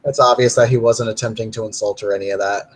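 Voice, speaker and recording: A man's voice: lowish voice, fairly even intonation, fast. A man narrating as storyteller in a tabletop roleplay, casual with many fillers.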